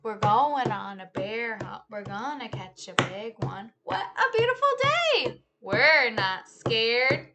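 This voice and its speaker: A woman's voice: on the high side, big pitch swings, over music. A woman reading a children's story aloud like a teacher, theatrically, drawing out key words in a sing-along chant. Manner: theatrical, drawn-out key words, shifting between hushed whispers and loud exclamations. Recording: noticeable background noise.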